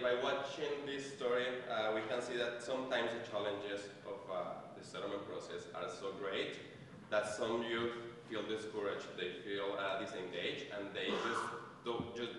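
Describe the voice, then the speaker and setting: A man, a settlement worker, presenting to an audience, halting often with fillers and restarts. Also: fairly low pitch, fairly even intonation, noisy background. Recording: echoey hall, far from mic.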